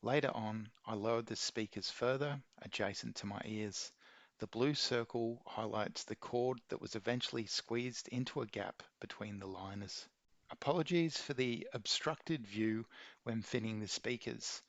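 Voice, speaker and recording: A man reading a scripted how-to narration in a measured, methodical way. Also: lowish voice, a bit bright, dry recording. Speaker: video maker giving an installation tutorial.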